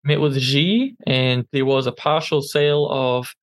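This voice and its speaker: A man's voice: mid-range voice, fairly even intonation, measured pace, no echo, clean studio sound. A man co-hosting a podcast in casual news chat, with a non-native English accent, talking hesitantly with many ums and restarts. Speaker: podcast co-host.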